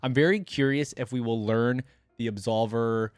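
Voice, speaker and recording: A man's voice: medium pitch, steady tone, moderate pace, clean tone, no echo. A man giving casual reaction commentary, reading lore aloud and breaking into informal asides. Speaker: reaction video host.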